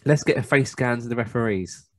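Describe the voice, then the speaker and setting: A man's voice: mid-range voice, a little fast, no echo. A man co-hosting a casual football game chat, stumbling over and repeating words as he goes. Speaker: co-host of a football game review.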